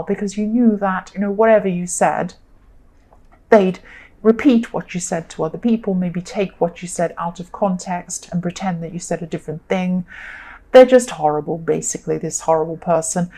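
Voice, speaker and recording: A woman with a croaky voice giving a chatty, reassuring tarot reading, full of fillers and restarts. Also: lowish voice, a little mellow. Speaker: tarot reader. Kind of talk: solo tarot reading monologue.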